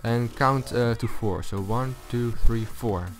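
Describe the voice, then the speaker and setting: A man with a low voice giving a casual, unscripted gaming tutorial, thinking aloud as he goes. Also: slow. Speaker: video tutorial host. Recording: music behind the voice. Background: loud music.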